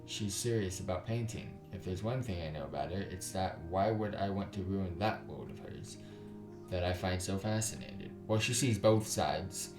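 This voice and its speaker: A man's voice: low voice, normal speed. A man reading a visual novel aloud as a gaming YouTuber, with dramatic delivery and his own commentary. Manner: dramatic reading, switches into character voices. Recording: roomy echo over guitar music. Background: guitar music.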